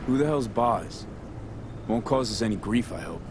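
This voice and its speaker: V, actor as a low-voiced, terse mercenary. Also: male voice, lively intonation, normal speed, lightly acted. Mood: probing. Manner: terse, clipped, matter-of-fact. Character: cool, pragmatic street mercenary man. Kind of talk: naturalistic video game acting. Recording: vehicle and ambience noise behind.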